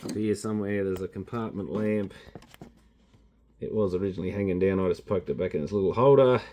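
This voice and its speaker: A man's voice: deep voice, fairly quick, background noise. A man with an Australian accent giving casual, unscripted hands-on commentary as a repairer, musing in an understated way. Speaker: electronics repairer presenting his channel.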